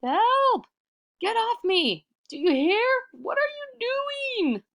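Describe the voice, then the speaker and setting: A woman reading a storybook aloud as a sly trickster man, bossy and pleading, with theatrical shouts and wheedling. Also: very high voice, big pitch swings, slow, pitched above the performer's own voice, full character voice. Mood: panicked. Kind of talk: storybook read-aloud character voice.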